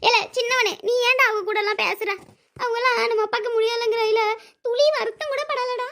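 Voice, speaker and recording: Woman acting a very shrill, fretful old mother-in-law. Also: fairly even intonation, a little fast, noisy background. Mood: complaining. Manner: scolding, dramatic lamenting. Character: fretful, plaintive elderly village mother-in-law. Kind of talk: amateur family drama dialogue.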